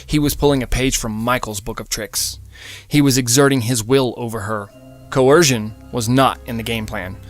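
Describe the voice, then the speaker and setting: A man's voice: medium pitch, steady tone, normal speed. A man narrates fiction in a mid-range voice. His delivery is scripted and dramatic, in the manner of an audiobook, with music playing behind him.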